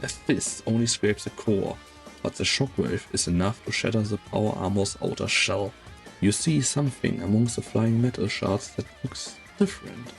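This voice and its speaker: A man narrating a game story in a measured, solemn, dramatic scripted style. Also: lowish voice, gravelly, faint music behind.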